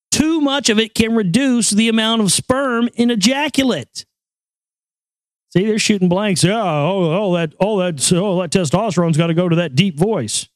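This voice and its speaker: A man with a high voice hosting a casual talk show, joking crudely and rambling over news items. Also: no echo. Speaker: show host.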